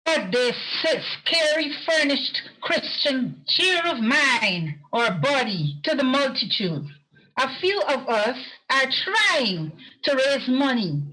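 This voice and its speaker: A woman playing a cheerful, genteel charity collector, polite, earnest and deliberate, in an amateur radio play. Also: sits high, bright, forceful tone, full character voice, low-quality recording. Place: performed remotely over a video call. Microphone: video call.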